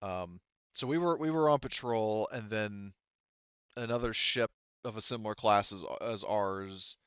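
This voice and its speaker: A man's voice: fairly low pitch, smooth, no echo, lo-fi sound, lightly acted. A man voicing a weary, grateful woman who survived an attack on her ship, stammering as she speaks, in a tabletop game. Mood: weary recounting. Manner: halting, stammering. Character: rescued female crew survivor, weak, relieved, grateful, apologetic.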